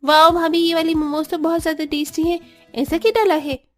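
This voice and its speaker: Cartoon young sister-in-law: very high, pleading, demanding, food-mad. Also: female voice, little pitch movement, fairly quick, soft background music. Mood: delighted. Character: young sister-in-law, whiny, demanding, momo-crazy schoolgirl. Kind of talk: Hindi cartoon story acting.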